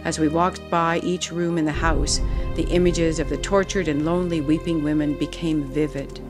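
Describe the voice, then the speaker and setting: A narrator reading a solemn, measured documentary script. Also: mid-range voice, fairly even intonation, music in the background. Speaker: narrator of a study tour video.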